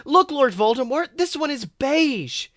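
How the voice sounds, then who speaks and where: A man voicing an excitable, ditzy young woman assistant who gushes at full volume. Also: extremely high pitch, unhurried, no echo, clean studio sound, pitched above the performer's own voice, exaggerated voice. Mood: excited.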